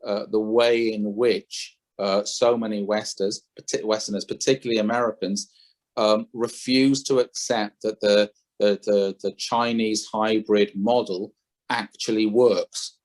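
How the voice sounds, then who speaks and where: A man's voice: lowish voice, flat delivery, measured pace. A man, a former head trader, speaking as a podcast guest, opinionated and thinking aloud, often correcting himself mid-sentence. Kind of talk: conversational interview.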